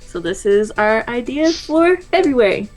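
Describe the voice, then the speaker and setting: A woman with a slightly high voice chats casually and reads out questions from listeners. She teases her co-hosts and comes through a computer mic on a voice call. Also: lively intonation, measured pace, darkish tone, soft background music. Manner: teasing, reads questions aloud. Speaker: podcast co-host reading audience questions. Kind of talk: casual chat.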